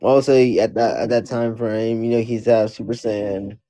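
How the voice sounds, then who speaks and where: A man's voice: on the low side, steady tone, normal speed, dark tone. A man hosting a casual debate chat, joking and rambling with lots of repetition.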